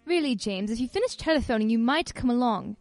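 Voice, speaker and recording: An actress playing a sociable society wife hosting a party in a radio play, gushing and brisk. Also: female voice, fairly high pitch, lively intonation, dry recording, lightly acted. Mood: exasperated.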